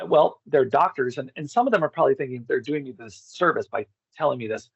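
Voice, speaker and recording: A man's voice: medium pitch, animated, fast, vocal fry, slightly rough, no room sound, lo-fi sound. A middle-aged man telling personal stories in a candid, rambling, profane way as an interview guest. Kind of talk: casual personal storytelling.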